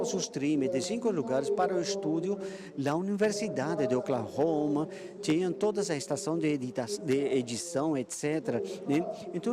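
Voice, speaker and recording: A man from Israel with an Israeli accent, giving a conference presentation and often halting and repeating words while he searches for them. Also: fairly high pitch.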